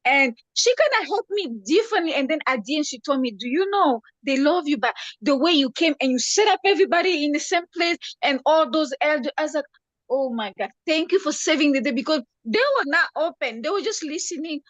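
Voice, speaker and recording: High-voiced woman host. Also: expressive, a little fast, dry recording, lo-fi sound. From Congo. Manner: enthusiastic, with many fillers and hesitations. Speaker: talk show host. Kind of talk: casual talk-show chat.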